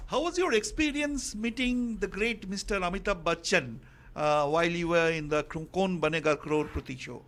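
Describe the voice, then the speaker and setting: A man's voice: high voice, normal speed, fairly bright tone. A man hosting a livestream interview, who praises the performance and reads out audience questions. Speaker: host.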